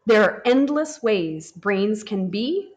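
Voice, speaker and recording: A woman reads a children's picture book aloud at an unhurried pace, the way a teacher would to a class. Her pitch moves widely and expressively, rising and falling to keep young listeners engaged. The delivery stays gentle and warm. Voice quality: expressive and animated, slow and gentle. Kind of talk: children's storybook read-aloud. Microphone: camera over the book, hands turning pages.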